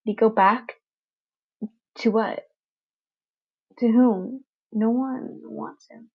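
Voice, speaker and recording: A woman reading fan fiction as a dramatic, brooding scripted narration with a halting delivery. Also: fairly high pitch, fairly even intonation, husky. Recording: dull lo-fi home recording.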